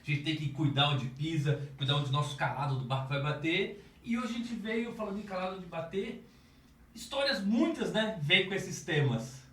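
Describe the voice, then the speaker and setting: A man's voice: on the high side, expressive, moderate pace, fairly bright tone. Joking man on a roomy, distant mic. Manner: joking, teasing, chatty. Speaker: podcast co-host. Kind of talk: casual podcast chat.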